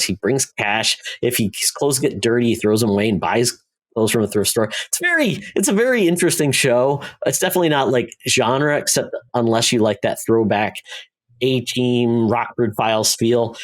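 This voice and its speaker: A man with a mid-pitched voice talks casually as a podcast co-host. He rambles through his review in a halting way, often breaking off and restarting his own sentences. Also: animated. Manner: halting, rambling, self-interrupting.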